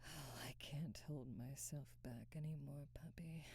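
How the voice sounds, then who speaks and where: Woman as breathy, low-voiced seductive vampire. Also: no echo, full character voice. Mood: ravenous, desperate. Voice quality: soft, breathy, often dropping to a whisper. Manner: slow, formal diction with teasing, halting restraint. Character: seductive, aristocratic female vampire, restrained but hungry. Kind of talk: intimate ASMR roleplay, much of it whispered. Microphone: close, dry microphone.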